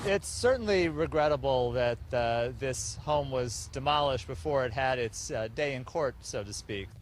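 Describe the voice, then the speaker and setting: A man's voice: medium pitch, normal speed, slight background noise. Formal man in a news interview. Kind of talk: measured interview statement.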